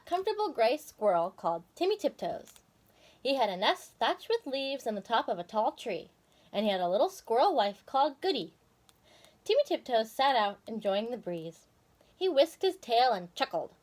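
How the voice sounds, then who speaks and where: A woman hosting and reading a children's story expressively, with casual asides to viewers. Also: fairly high pitch. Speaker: storyteller and video host.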